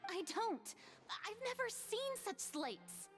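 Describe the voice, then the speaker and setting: A woman's voice: extremely high pitch, sing-song, takes it slowly, exaggerated voice. An actress playing a theatrical, haughty and evasive young female god in a video game, with a dramatic, breezy manner. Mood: defensive. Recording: game music behind. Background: orchestral game music.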